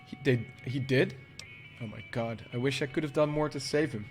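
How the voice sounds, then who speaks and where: A man's voice: medium pitch, normal speed, faint music behind. A man casually commenting on a game as he plays it, sniffling from a cold and musing to himself. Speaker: game streamer. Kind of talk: casual let's-play commentary.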